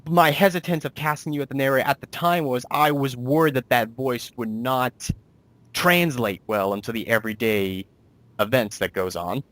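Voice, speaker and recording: A man's voice: mid-range voice, normal speed, fairly bright tone, dry recording. A man hosting a casual interview as a director, explaining things in a rambling way and restating himself. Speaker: interviewer and director of an amateur audio drama.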